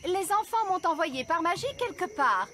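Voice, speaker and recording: Bossy high-voiced cartoon fairy queen. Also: very animated, very noisy. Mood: confident. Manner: brisk, assured, gracious. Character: confident, bossy fairy queen acting as schoolteacher. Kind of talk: animated cartoon voice acting, French dub.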